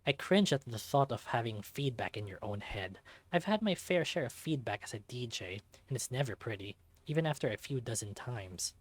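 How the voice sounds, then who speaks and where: A man reading a visual novel aloud as expressive first-person scripted narration. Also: medium pitch, normal speed, hardly any pauses, breathy edge.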